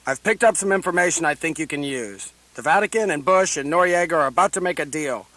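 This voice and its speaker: Higher-pitched scheming agent played by a man. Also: expressive, fast talker, bright, forceful tone, no room sound, poor audio. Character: shifty, scheming male American intelligence agent. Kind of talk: satirical radio play acting.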